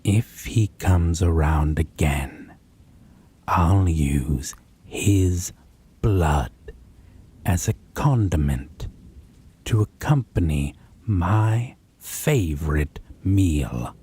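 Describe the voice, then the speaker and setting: A man playing a possessive, menacing male vampire in a dramatic audio roleplay, husky and breathy, with taunting, drawn-out phrasing and whispered words. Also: low voice, expressive, dark tone, full character voice. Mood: predatory hunger. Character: possessive, menacing, predatory male vampire, wounded yet domineering.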